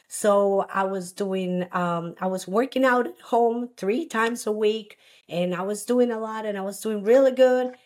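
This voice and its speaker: A woman's voice: medium pitch, a little mellow. Earnest woman from Costa Rica. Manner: halting, earnest, many restarts. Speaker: guest. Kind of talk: personal story in an interview.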